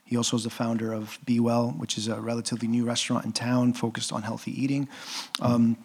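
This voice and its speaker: A man's voice: mid-range voice, level tone, fast, creaky, darkish tone, slightly rough. A man moderating an entrepreneurs' panel, encouraging and enthusiastic, with plenty of ums and restarts. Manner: enthusiastic, filler-heavy, encouraging.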